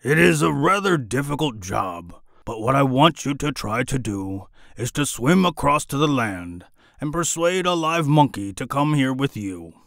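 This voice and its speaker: An exasperated dragon king from a fairy-tale storybook, exclaiming in disbelief and giving firm orders in a raised, middle-pitched voice, as a male reader puts him on. The sound is dry and close, with no room around it. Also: very animated, measured pace, creaky, a bit bright, no echo, heavily acted. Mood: commanding. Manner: incredulous, exclaiming.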